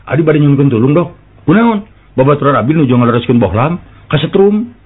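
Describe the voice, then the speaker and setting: A Sundanese man with a Sundanese accent, telling comic folk jokes and breaking into laughter and high character voices. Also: animated, a little fast, slightly rough. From West Java, Indonesia. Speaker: comic storyteller. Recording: tinny phone-like sound with a live crowd.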